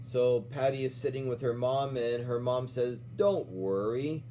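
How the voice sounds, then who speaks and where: A middle-aged man reading a children's storybook aloud as an instructor, pausing to explain and check in with questions. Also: mid-range voice, mellow. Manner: checks in with questions, often ending "right?". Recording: dull lo-fi sound with faint hum.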